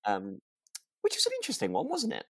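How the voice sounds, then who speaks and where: A man's voice: on the high side, huge pitch swings, fast, fairly bright tone, slightly rough, no echo. A British man co-hosting a podcast, chatting casually about a drama in a rambling, hesitant way. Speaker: podcast co-host.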